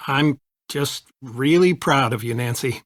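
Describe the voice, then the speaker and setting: A man's voice: mid-range voice, a little slow, no echo, lightly acted. Man playing middle-aged father, video game dialogue, affectionate, reassuring, folksy, dry recording. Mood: tender, proud. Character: warm, proud, easygoing middle-aged father.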